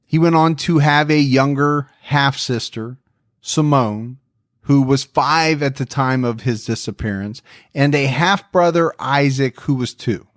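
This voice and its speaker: A man's voice: mid-range voice, unhurried, no room sound. Man, podcast host, casual storytelling, dry booth sound. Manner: relaxed, friendly storytelling. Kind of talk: casual true crime podcast chat.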